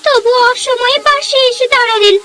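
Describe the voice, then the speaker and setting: A woman's voice: extremely high pitch, normal speed, bright, forceful tone, pitched above the performer's own voice. A woman voicing a humbled, grateful village sister-in-law in a cartoon, speaking apologetically. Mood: remorseful. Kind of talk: cartoon fairy-tale voice acting.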